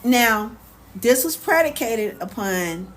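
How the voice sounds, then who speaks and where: An American woman chatting casually on a livestream as host, answering viewers in an animated, sassy, colloquial way. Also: fairly high pitch, takes it slowly, low-quality recording. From United States. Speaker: live stream host. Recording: clear background noise, ambient sound.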